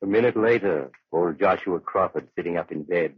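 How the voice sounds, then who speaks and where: An actor playing a sinister, courteous doctor who tells eerie tales in measured 1940s radio narration. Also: male voice, sits low, slightly rough, dry recording. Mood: suavely ominous. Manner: measured, theatrical, suavely menacing. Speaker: storyteller host of a horror radio series. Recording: dull old-time radio recording.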